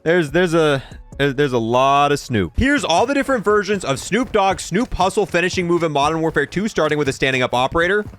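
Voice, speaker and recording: A man's voice: fairly high pitch, brisk, fairly bright tone, soft background music. A man hosting a gaming video with casual, playful gameplay commentary in an informal way.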